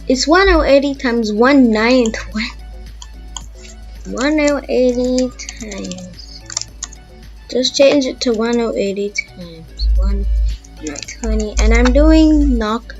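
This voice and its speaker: Slow-paced, high-voiced young-sounding woman tutorial host. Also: expressive, darkish tone. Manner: informal, thinking aloud while demonstrating. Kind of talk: casual voiced software tutorial. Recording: dull lo-fi mic, background music.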